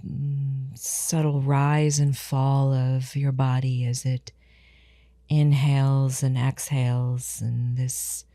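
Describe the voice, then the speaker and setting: A woman guides a meditation at a slow, unhurried pace. Her voice is strikingly low and soft-edged in tone, and she gives each instruction gently and soothingly. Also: no echo.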